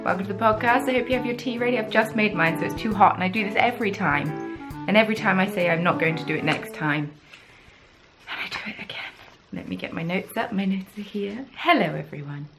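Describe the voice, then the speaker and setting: A woman's voice: medium pitch, quick pace, music in the background. A young woman from the south of England with a Southern English accent, hosting a casual crafting podcast and chatting in a rambling, self-deprecating way. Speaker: podcast host. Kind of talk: casual chat.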